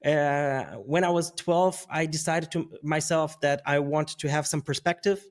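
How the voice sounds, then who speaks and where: Guy in his 30s, talks kinda high, rambles and laughs a lot. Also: measured pace. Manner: rambling, restarts, laughs often. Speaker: guest. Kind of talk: casual interview conversation.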